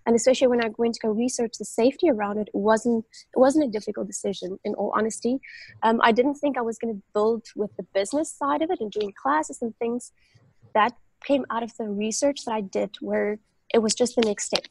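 Afrikaans-speaking South African woman, husky. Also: a little high, brisk, a little mellow, dry recording, low-quality recording. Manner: thoughtful, frequent fillers and restarts. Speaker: guest, medical doctor. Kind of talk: casual interview talk. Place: home room on a video call. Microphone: earphone headset mic on a video call.

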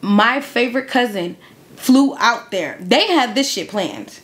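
Young woman in her 20s, animated casual storytelling on an interview, repeats stuff for emphasis. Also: on the high side, measured pace. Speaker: interview guest telling a couple's story.

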